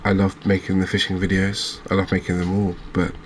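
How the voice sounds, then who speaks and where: A man with a low voice and very level intonation gives soft ASMR gameplay commentary. He sounds gentle and unhurried. Faint background ambience sits under his voice. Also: completely flat delivery, slight background noise. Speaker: video game streamer.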